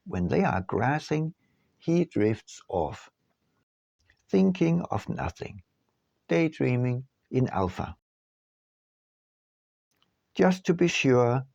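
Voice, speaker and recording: A man narrating an audiobook from a script in a measured, deliberate way with emphatic phrasing. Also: medium pitch, gravelly, darkish tone, slightly rough, no echo, dull audio. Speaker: author reading his own book.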